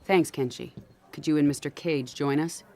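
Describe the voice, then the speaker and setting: Brisk military commander, higher-set male voice acted. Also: mellow, slight background noise, natural voice. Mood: businesslike. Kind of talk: video game cutscene acting.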